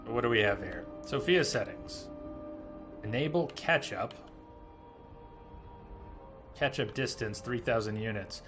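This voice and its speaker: A man streams a video game with casual, chatty commentary. His voice sits at an average pitch as he explains things and reads out menus. Faint music plays behind him. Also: a bit bright. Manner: chatty, reads menu text aloud while explaining. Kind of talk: casual live gameplay commentary.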